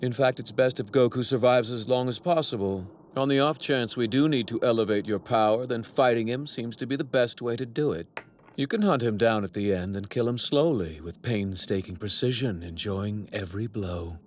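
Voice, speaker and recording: An actor playing an arrogant, righteous god villain in an anime dub, with pompous, theatrical, formal delivery. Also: male voice, mid-range voice, normal speed, darkish tone, a little hiss or hum, lightly acted. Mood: cold, cruel. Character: arrogant male god villain, righteous zealot who despises mortals. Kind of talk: dubbed anime villain acting. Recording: sound effects bleeding behind voice.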